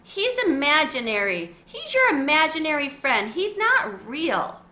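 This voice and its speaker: A woman's voice: high voice, big pitch swings, measured pace, bright, forceful tone, roomy sound, pitched above the performer's own voice, full character voice. A woman voicing an older boy who grows exasperated with his little brother. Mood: annoyed.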